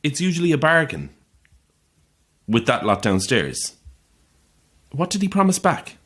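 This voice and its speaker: A man's voice: a little high, sing-song, a little slow, a bit bright, husky, heavily acted. A man playing a sceptical, grumbling holidaymaker in a naturalistic audio horror drama, with a dry, terse, questioning manner. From Ireland.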